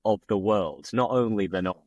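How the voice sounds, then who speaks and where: Host: man, low-pitched, playful and teasing, dry close mic. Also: moderate pace, a little mellow, no room sound, lo-fi sound. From England, Bingley near Bradford. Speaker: host, English teacher. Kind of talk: casual interview.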